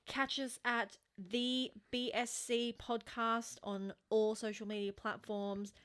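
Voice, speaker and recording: A woman's voice: fairly high pitch, level tone, slow pace, fairly bright tone, clear, resonant tone. A woman with an Australian accent chats casually and informally as a podcast co-host.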